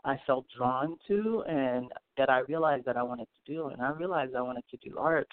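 A man's voice: mid-range voice, normal speed, dry recording. Haitian-born man on a tinny call. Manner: hesitant, many fillers and restarts, laughs easily. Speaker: guest artist. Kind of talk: casual interview. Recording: tinny phone line.

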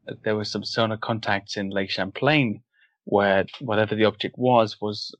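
A man who grew up in Wales, without a Welsh accent, talking as a guest in a casual interview and pausing thoughtfully to find his words. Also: lowish voice, steady tone, fairly bright tone, no echo. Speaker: guest author. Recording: dull, dry lo-fi audio.

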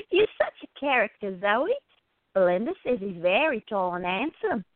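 A woman voicing a quiet, curious gallery worker in a radio play, chatty and gently prodding her friend. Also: medium pitch, sing-song, slow. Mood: amused, gossipy. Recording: tinny, phone-like narrow sound.

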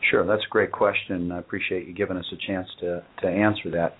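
A man who runs a company, answering interview questions in a measured, matter-of-fact way with frequent pauses on "uh". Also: deep voice, level tone, slightly rough. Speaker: company owner and guest. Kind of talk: business interview. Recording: tinny phone-like audio.

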